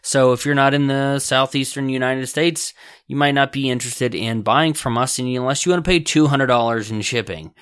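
A man's voice: mid-range voice, normal speed, fairly bright tone, clean tone, no echo. Dry-voiced man teaching business basics. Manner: conversational, self-correcting, folksy asides. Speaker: podcast host and business author. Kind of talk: casual instructional podcast talk.

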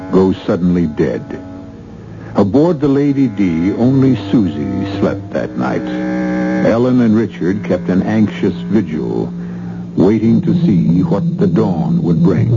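A man hosting and narrating a 1970s radio drama in an ominous, theatrical storytelling manner. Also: low-pitched. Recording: dull 1970s radio broadcast.

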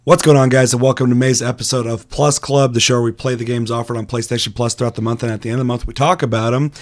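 A young man with a hoarse, raspy voice, hosting a casual gaming podcast with chatty, self-deprecating humor. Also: medium pitch, fairly even intonation, fast. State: hoarse, recovering lost voice. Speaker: podcast host.

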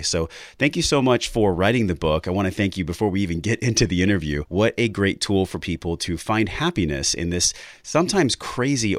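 A man's voice: low voice, dry recording, clean studio sound. A young adult man hosting a wellness podcast, who reads an intro and then asks questions with effusive enthusiasm. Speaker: podcast host.

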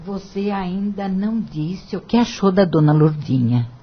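A muffled radio drama recording of a gentle, admiring mother. Her middle-aged voice is very raspy and moves at an unhurried, tender pace. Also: fairly low pitch, lively intonation. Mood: tender, moved.

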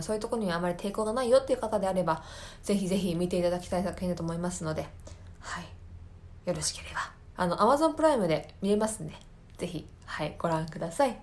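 A woman hosting a casual solo radio show, speaking earnestly and often pausing to search for words and rephrase. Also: lowish voice, darkish tone. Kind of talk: casual monologue, recommending a favorite film to listeners. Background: background music.

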